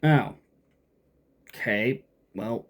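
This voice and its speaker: A man's voice: mid-range voice, extremely slow. A man giving casual, off-the-cuff commentary while playing a video game, with keyboard clicks behind him. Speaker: game streamer. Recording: faint background noise, keyboard clicks.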